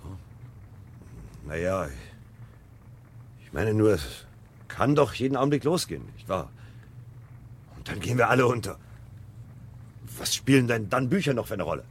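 A man's voice: fairly low pitch, big pitch swings, normal speed, long pauses. An actor playing a bitter, defiant fireman turned rebel, brooding and muttering, in 1970s German radio drama style. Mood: evasive, resigned. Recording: noisy radio drama scene.

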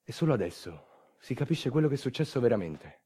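Animated, quick-talking man delivering a theatrical monologue. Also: mid-range voice, husky. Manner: rhetorical, wry, with refrains and colloquial asides. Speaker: monologue stage performer.

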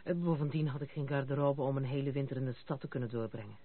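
A general's young granddaughter, direct and wry, speaking with disillusioned frankness. It is radio drama acting, captured on a tinny, narrow-band old recording. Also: female voice, very deep voice, fairly even intonation, fast. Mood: disillusioned, confiding.

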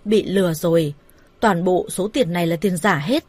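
A woman's voice: lowish voice, normal speed, darkish tone, slightly rough, no echo. A woman voicing a greedy, abusive young man with a harsh manner in an audio story. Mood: furious contempt. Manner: harsh, contemptuous.

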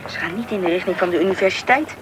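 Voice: mid-range voice, racing, close to an everyday voice. A young male performer playing a cautious, obedient schoolboy in a radio drama. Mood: matter-of-fact. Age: young teen. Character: cautious schoolboy friend, obedient to his grandmother. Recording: radio play with noisy outdoor ambience.

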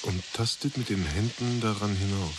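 A man giving sober, measured literary narration in a radio drama. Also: fairly low pitch, background noise, natural voice.

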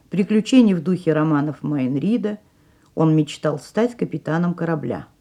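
A woman's voice: medium pitch, dark tone. A woman hosting a radio programme as a theatre critic, delivering scripted narration.

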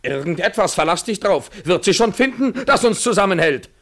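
An actor in a literary German radio play playing a brooding, bitter lover, intense and eloquent, swinging from hushed to vehement. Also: male voice, sits high, expressive, moderate pace, heavily acted. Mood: bitterly sarcastic, agitated. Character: brooding, bitter lover, a man wrestling with himself.

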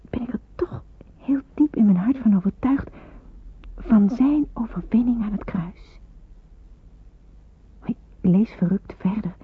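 A woman's voice: mid-range voice, husky, no echo. A woman playing an earnest, fervent believer in wartime, delivering a dramatic, intense and reflective radio play monologue. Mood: fervent, questioning. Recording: muffled phone-like old radio play recording.

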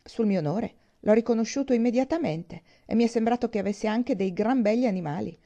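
Woman putting on a brash, blustering young gentleman. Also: on the high side, a little fast, lo-fi sound, close to an everyday voice. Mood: boastful. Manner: bossy, emphatic, blustering. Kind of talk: audiobook character dialogue.

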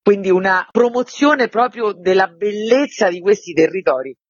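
A woman's voice: medium pitch, normal speed, slightly rough, no room sound. A woman presenting a festival program as a guest in a radio interview. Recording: muffled, dry, narrow-band sound.

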